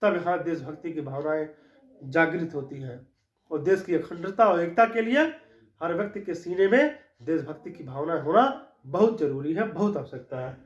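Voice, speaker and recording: A high-pitched man lectures on law, going over each point twice and checking in with his listeners as he goes. The recording is dull and lo-fi, and his voice rings in an echoey room. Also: normal speed. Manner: explanatory, repeats key points, frequent "ठीक" check-ins. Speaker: law teacher. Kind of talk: classroom-style lecture.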